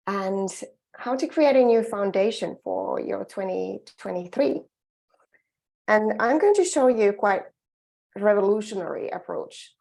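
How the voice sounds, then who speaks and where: A woman's voice: medium pitch, creaky, a little mellow. A middle-aged woman from Finland with a Finnish accent, giving an earnest, encouraging webinar presentation as a well-being coach, often restarting her phrases.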